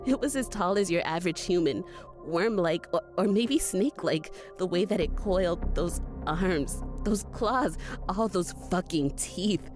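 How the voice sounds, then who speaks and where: A woman's voice: lowish voice, unhurried, darkish tone, soft background music, natural voice. A young woman playing a gutsy, foul-mouthed monster fighter who narrates a horror audio drama in a breathless, conversational way. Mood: tense narration. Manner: sweary, breathless, conversational first-person storytelling.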